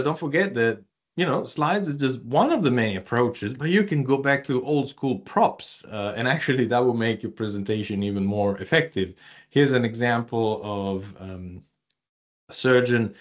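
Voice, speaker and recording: Italian-accented man giving an online workshop. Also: lowish voice. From Italy. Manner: chatty, many fillers and restarts, playful with audience. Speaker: research communication presenter. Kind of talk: live online workshop presentation.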